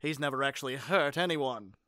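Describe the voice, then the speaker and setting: A man's voice: medium pitch, fairly quick, bright, forceful tone, no echo, studio quality, natural voice. A man playing a caring but cocky young superhero in an audio roleplay, speaking in an earnest, casual, teasing way. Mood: concerned. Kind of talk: amateur ASMR audio roleplay.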